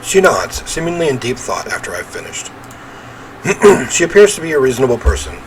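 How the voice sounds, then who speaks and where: A man reading visual novel narration aloud in an expressive storytelling way, with casual commentary. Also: medium pitch, fairly quick, slight background noise, low-quality recording. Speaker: video game let's player.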